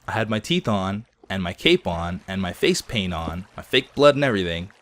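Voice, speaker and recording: A man in his thirties, somewhat hoarse, telling chatty, self-deprecating stories while he plays a game. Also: low voice, very animated, normal speed, fairly bright tone. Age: 30s. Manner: chatty storytelling, self-deprecating, laughs often. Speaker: gaming video host. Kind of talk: casual gameplay commentary.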